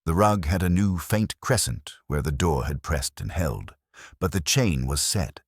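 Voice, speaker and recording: A man's voice: rumbling low, lively intonation, a little slow, breathy edge, no room sound, studio quality. A man reading a detective story aloud as a scripted audiobook narration.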